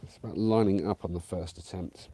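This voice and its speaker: A man's voice: sits low, fairly even intonation, a little fast, a little hiss or hum. A man, rambling casually to viewers on a live stream from his camper van, a bit bunged up from a cold. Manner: chatty, rambling, warm to viewers, many fillers and restarts.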